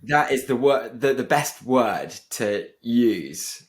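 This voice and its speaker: A man's voice: mid-range voice, expressive, slow. Hesitant, stumbling male podcast host. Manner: hesitant, many restarts and fillers. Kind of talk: casual podcast interview.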